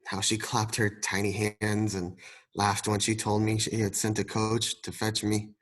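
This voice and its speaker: A man playing an old miser in a radio play, husky and hesitant, with a dramatic delivery. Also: on the low side, completely flat delivery, unhurried. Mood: wistful. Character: grumpy, fearful old miser softening into wistfulness.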